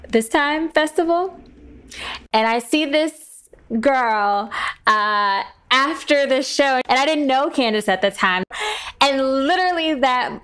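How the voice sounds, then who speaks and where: Woman guest talking through her work in an interview, slightly high voice. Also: fairly bright tone, clear, resonant tone. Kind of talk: reflective interview talk.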